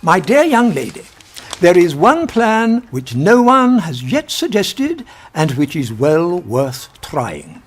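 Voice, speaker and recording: An actor playing a kindly, logical old professor, speaking deliberately and formally in a radio drama. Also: male voice, high voice, huge pitch swings, a little hiss or hum, heavily acted. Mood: musing, wry. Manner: deliberate, formal, pedantic, gently exasperated.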